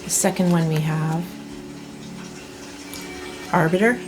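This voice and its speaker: A woman in her thirties giving a tarot reading in a soft, reassuring way, clearing her throat as she loses her voice. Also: low voice, music in the background, low-quality recording. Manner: soft, slow, reassuring delivery with many restarts. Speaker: tarot reader. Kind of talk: pick-a-card tarot reading.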